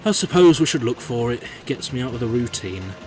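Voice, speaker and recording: A man's voice: mid-range voice, sing-song, fairly quick, a little mellow, faint music behind, natural voice. A man with a British accent voicing railway engines and railwaymen in a scripted storybook audio drama. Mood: earnest.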